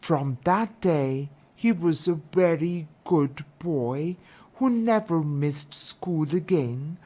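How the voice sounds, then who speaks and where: A woman storyteller reads a children's fairy tale. Her voice sits a little low and moves through wide, lively intonation. She takes her time, phrasing each part deliberately.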